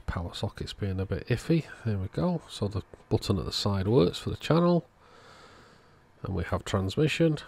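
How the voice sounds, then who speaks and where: A man calmly narrating a radio repair at his workbench in a matter-of-fact way. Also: fairly low pitch, moderate pace, gravelly, slight background noise. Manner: relaxed, matter-of-fact commentary while working. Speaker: electronics repair channel host. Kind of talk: narrated bench repair tutorial. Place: workbench indoors.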